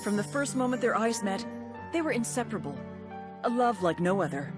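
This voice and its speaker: A woman's voice: medium pitch, very animated, brisk, natural voice. An actress as a hard-edged female agent. She speaks in a terse, driven, commanding way, as in a game's mission briefing. Music plays behind her. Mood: grim, storytelling. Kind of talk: video game dialogue and dramatic briefing narration.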